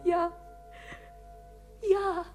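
An actress playing a dreamy, coy young sweetheart, breathy and half-whispering, in a 1950s radio play. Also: female voice, very high voice, level tone, drawn-out words, heavily acted. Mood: coy, enraptured. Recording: 1950s radio recording with music.

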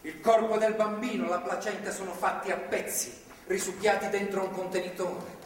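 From far off in a reverberant theatre hall comes a man acting as a zealous, controlling priest. His voice is notably high for a man, measured and paternalistic, preaching with fervour as in a stage play. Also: level tone, natural voice. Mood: solemn, didactic. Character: fervent, controlling anti-abortion priest holding a woman captive. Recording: echoey theatre hall, distant mic, faint noise.

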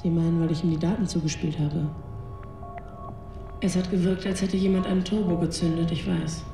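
An actress plays a candid, self-searching woman in a radio drama, her voice creaky and fairly low. She speaks standard German, guarded and reflective. The sound is roomy and echoing. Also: steady tone, fairly quick, lightly acted. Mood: defensive. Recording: roomy echo with music underneath.

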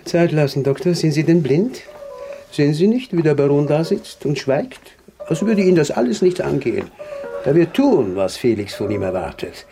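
An actor playing a driven, insistent amateur detective in a period Viennese radio drama. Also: male voice, a little high, very animated, normal speed, vocal fry, mellow, soft background music. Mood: urgent, determined. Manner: insistent, probing, interrupting.

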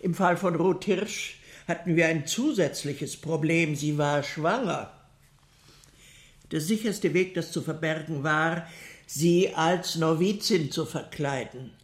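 An actress playing a composed convent nun in a radio crime drama, speaking in a measured, formal and deliberate way. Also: female voice, low voice. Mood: measured recollection. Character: composed convent nun recounting wartime records to investigators. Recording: echoey room.

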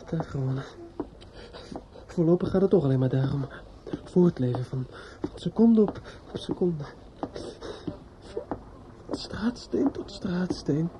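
An actor playing a despairing young man in a radio drama monologue, halting, stammering and repeating himself in fear and grief. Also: on the high side, expressive. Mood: lost, hesitant. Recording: dull, noisy old radio recording.

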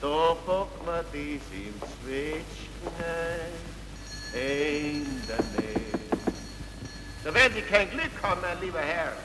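Blunt porter for film drama, slow and very animated, emphatic, in a reverberant space. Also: male voice, a little high, heavy background noise. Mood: dismissive. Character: blunt, gossipy male house porter.

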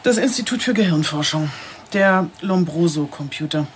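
An actress playing a brisk female police detective in a radio play, dry and sarcastic. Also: fairly low pitch, animated, slight background noise, lightly acted. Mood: businesslike. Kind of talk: radio drama dialogue.

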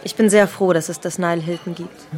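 A woman's voice: lowish voice, steady tone, a little fast, a little mellow, some chatter behind, close to an everyday voice. An actress playing an elegant, poised and charming modern Egyptian woman in a radio play. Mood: poised, earnest.

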